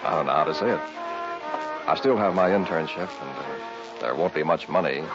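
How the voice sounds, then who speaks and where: Haunted, deep-voiced young medical student. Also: male voice, moderate pace. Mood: earnest, hesitant. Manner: brooding, dramatic first-person telling. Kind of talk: vintage radio horror acting and narration. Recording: dull vintage radio recording, music behind.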